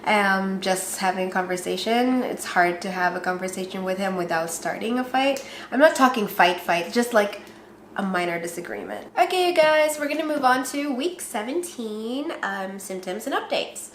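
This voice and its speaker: A woman's voice: medium pitch, animated, moderate pace, a little hiss or hum. A woman with a Filipino accent chatting casually with her viewers, laughing often and teasing herself. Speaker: pregnancy vlogger. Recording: roomy echo.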